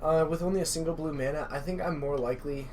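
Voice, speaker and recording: A man's voice: on the high side, fast talker, darkish tone, slight background noise. Chatty male card game commentator. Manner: chatty, welcoming, analytical. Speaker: stream commentator. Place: game store.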